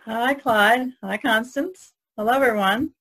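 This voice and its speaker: A woman's voice: fairly high pitch, a little slow, pushed and bright, breathy edge, poor audio. An American woman giving introductions and greetings as a co-host on a podcast.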